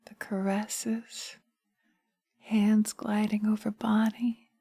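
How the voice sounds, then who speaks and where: A woman playing seductive, taunting witches in a slow hypnosis roleplay, speaking in a hushed, dominant manner close to the microphone. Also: mid-range voice, gravelly, husky, dry recording, heavily acted. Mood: whispered, teasing. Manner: sultry, slow, hushed, often dropping to a whisper. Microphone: close binaural microphone.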